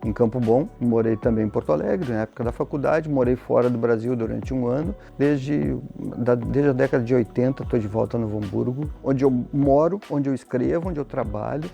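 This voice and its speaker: A middle-aged or older man with a southern Brazilian gaúcho accent, talking in a measured way in an interview. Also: medium pitch, gravelly, mellow, muffled recording. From Rio Grande do Sul, southern Brazil. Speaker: interviewee, writer and lawyer. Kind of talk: documentary interview. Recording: faint music behind the voice.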